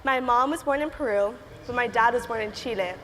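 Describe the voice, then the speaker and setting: An eighteen-year-old woman from Miami gives a friendly prepared talk in a fairly high voice. She sounds a little nervous. Her voice rings through a large hall with a light background hum. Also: fairly quick, fairly bright tone. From Miami, United States. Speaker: student entrepreneur speaker. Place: large conference hall stage. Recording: reverberant hall with faint ambience.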